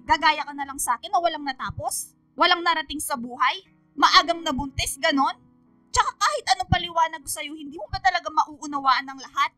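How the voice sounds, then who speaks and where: A woman's voice: very high voice, sharp tone, no room sound. A woman playing a strict but protective, struggling single mother in a Filipino radio drama.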